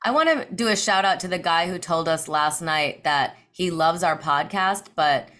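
A woman's voice: fairly low pitch, animated, normal speed, a bit bright. A woman co-hosting a comedy podcast from a hotel room, talking in a wry, offhand way. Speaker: podcast co-host. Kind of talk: casual comedic banter.

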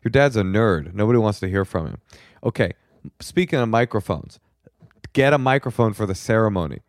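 A man with a fairly low voice hosts a comedic talk show. He banters bluntly and swears freely, delivering opinions with brash confidence. The sound is dry and close. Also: lively intonation, a little fast, no room sound. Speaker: comedy advice podcast host.

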